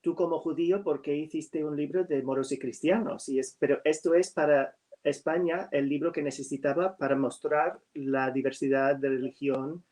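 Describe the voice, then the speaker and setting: A man whose first language is English, a writer in a casual chat over a video call, speaking slowly and hesitantly with many fillers. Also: fairly high pitch, steady tone, darkish tone. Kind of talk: casual conversation.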